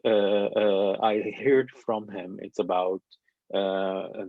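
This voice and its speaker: A man's voice: fairly low pitch, a little mellow, no echo, highs cut off. A man from Syria answering interview questions, speaking hesitantly with many fillers and restarts. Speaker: guest, documentary narrator. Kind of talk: conversational panel interview.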